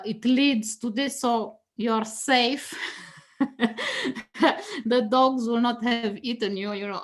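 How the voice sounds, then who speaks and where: A woman, Danish native speaker, explaining cards in a relaxed teaching chat. Her voice sits on the high side, and she breaks into laughter often, circling back and restarting with lots of fillers as she goes. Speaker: guest tarot reader.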